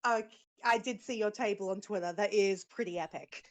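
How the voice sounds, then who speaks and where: A woman's voice: mid-range voice, a little fast, dry recording. A woman chatting casually as a guest on a livestream call, giggly and a little self-deprecating. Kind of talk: casual chat.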